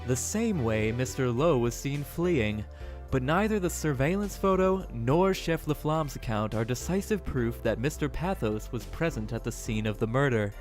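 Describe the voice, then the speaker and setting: A man's voice: medium pitch, expressive, normal speed, a little mellow, soft background music, lightly acted. A man playing a cool, exacting prosecutor in an audio drama, speaking formally and with composure. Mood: cool, insistent.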